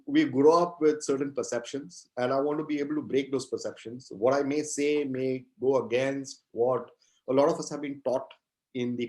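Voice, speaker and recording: Halting, reflective man in his fifties. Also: medium pitch, a little mellow, poor audio. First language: Gujarati. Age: 50s. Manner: halting, reflective, repeats phrases. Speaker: interview guest, poet and entrepreneur. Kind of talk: conversational interview answers.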